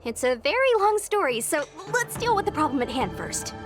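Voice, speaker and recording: Chatty practical young ghost hunter girl for a game, really high n bouncy intonation. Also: sing-song, normal speed, music in the background. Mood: brisk. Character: chatty, pragmatic young female ghost-hunting squad member. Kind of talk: video game voice acting.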